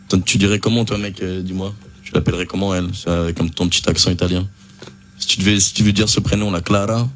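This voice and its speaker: A man's voice: sits low, fairly even intonation, quick pace, fairly bright tone, a little hiss or hum. Hesitant young male radio host. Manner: hesitant, many fillers and restarts. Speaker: radio host presenting an album. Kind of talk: casual radio chat.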